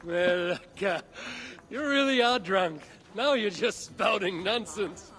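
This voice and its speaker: A man's voice: sits high, takes it slowly, a bit bright, full character voice. A man playing a bold, competitive, teasing Viking warrior in a video game. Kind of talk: video game cutscene dialogue.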